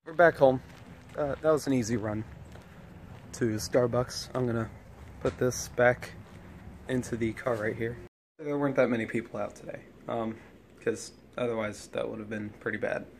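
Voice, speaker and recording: A man's voice: mid-range voice, moderate pace, pauses often. A young man chatting casually as a vlogger, talking into a phone held at arm's length. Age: young adult. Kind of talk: casual vlog chat. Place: home rooms, car and outdoors. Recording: clear background noise, handheld phone.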